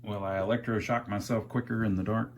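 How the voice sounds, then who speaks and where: A man's voice: on the low side, steady tone, brisk, slight background noise. A man casually thinking aloud as he narrates a hands-on repair, with a folksy, wry sense of humor. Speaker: amateur radio repairer and video host.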